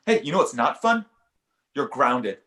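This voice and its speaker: A man acts out an insecure comedian father in an animated comedy. He sounds indignant and defensive in a mid-range voice. The recording has a clearly echoey room sound. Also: mid-range voice, a little slow. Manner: defensive, aggrieved. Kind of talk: animated comedy pilot table read.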